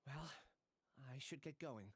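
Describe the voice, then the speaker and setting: An actor playing a gentle, remorseful young male scholar in a video game, speaking earnestly as if confessing. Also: medium pitch, a little slow, breathy edge. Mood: resigned.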